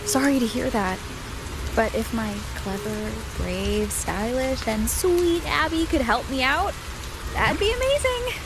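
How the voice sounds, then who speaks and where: Unhurried young heroine, calm. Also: female voice, sits high, noisy background. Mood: coaxing. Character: young female wandering hero, calm, kind, determined. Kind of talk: video game voice acting.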